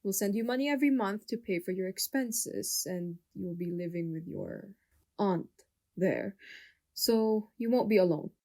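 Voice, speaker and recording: A woman playing a strict, practical, disapproving mother in scripted animated drama dialogue. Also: mid-range voice, a little slow. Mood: matter-of-fact. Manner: stern, admonishing.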